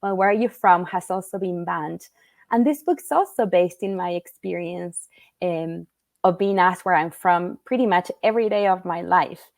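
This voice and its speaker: A woman's voice: fairly low pitch, normal speed, vocal fry, no room sound. A middle-aged woman from Argentina, a children's book author answering interview questions in an earnest, thoughtful way with frequent fillers and restarts. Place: at home in Utah.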